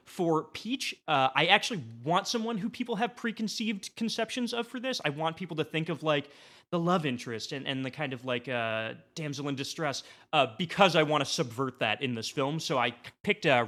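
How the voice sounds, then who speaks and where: A man talking casually as a podcast guest, making a comedic pitch in an excitable, mock-earnest, halting way.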